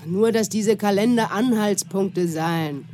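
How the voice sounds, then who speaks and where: A woman's voice: medium pitch, takes it slowly, warm tone, close to an everyday voice. A young woman playing a sullen, defensive witness under questioning in a radio play, offhand and sulky. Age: young adult.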